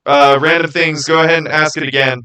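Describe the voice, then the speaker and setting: A man hosting a livestream, talking informally and haltingly as he thinks aloud and answers his viewers. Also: on the high side, level tone, pushed and bright. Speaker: streamer hosting a live channel Q&A. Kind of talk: casual livestream chat, answering viewer comments.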